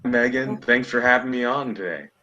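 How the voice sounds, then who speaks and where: A man's voice: medium pitch, moderate pace, sharp tone, muffled recording. A man chatting casually as a podcast guest in a jokey, easygoing manner. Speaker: podcast guest, filmmaker and playwright. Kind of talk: casual chat.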